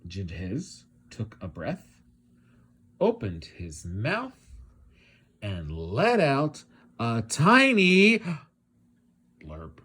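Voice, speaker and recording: Husky, highly expressive male storyteller. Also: drawn-out words, long pauses. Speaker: teacher reading aloud. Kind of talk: expressive children's storybook narration.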